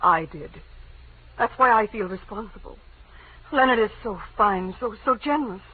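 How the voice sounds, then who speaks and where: An actress playing a pleading, evasive wife and femme fatale in a 1940s radio detective drama, with a breathy, halting, emotional delivery. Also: female voice, medium pitch, expressive. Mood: desperate pleading. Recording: muffled, band-limited old radio recording.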